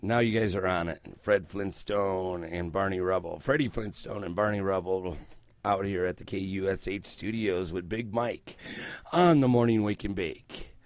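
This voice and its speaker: An older man hosting morning radio, his voice hoarse and croaky as he gets over a cold, rambling loosely and swearing freely into a headset mic in a small studio. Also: low voice, moderate pace, no room sound. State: recovering from a cold, voice coming back. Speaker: radio host. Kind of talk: casual morning radio chat. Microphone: headset mic around the ear.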